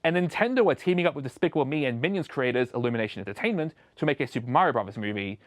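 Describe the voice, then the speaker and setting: A man's voice: mid-range voice, lively intonation, racing, no echo. A man presenting a scripted opinion video essay as a show host, recorded as a close voice-over.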